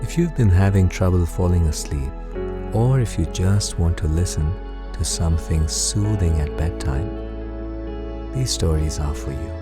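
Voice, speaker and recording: A man gently and calmly narrating a scripted bedtime story for guided sleep meditation, soothing and unhurried. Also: low voice. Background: music.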